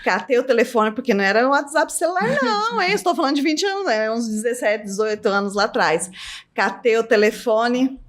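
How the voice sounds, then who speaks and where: A middle-aged woman, a business owner telling stories as a podcast guest, her voice leaping up high as she laughs through anecdotes. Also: brisk. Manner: lively storyteller, voice jumps up high in anecdotes, laughs often. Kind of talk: casual storytelling interview.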